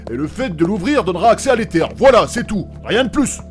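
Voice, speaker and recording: A man's voice: sits high, big pitch swings, fast, faint music behind. A man playing a clever, skeptical, argumentative adventurer in a comic fantasy audio drama, blunt and colloquial.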